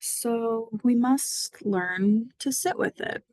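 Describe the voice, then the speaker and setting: A woman guiding a mindfulness body scan meditation, speaking gently and soothingly with long pauses. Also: on the high side, dry recording. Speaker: mindfulness guide.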